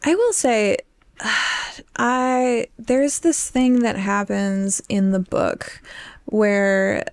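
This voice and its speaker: A young woman with an average-pitched voice talks casually as a podcast co-host. Her delivery is informal and halting, full of little restarts, like chatting with friends about a book. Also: fairly even intonation, clear, resonant tone. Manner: informal, halting, filler-heavy "like".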